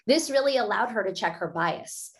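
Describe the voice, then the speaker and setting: Upbeat woman author giving brisk advice. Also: mid-range voice, expressive, vocal fry. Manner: upbeat and brisk, laughs often while explaining. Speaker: author and interview guest. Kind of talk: conversational expert advice.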